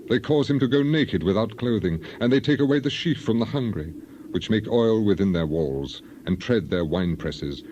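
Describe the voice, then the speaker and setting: A man's voice: low-pitched, dark tone, slight background noise. A man playing an aggrieved, devout ancient sufferer in a dramatized scripture reading, solemn and declamatory. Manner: solemn, declamatory, emphatic, archaic phrasing.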